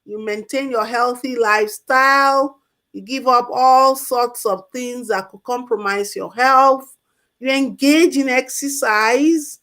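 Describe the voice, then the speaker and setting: A woman's voice: a little high, takes it slowly, fairly bright tone. A woman on a call-in talk show giving health advice in an emphatic way, repeating her key points. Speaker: health expert guest.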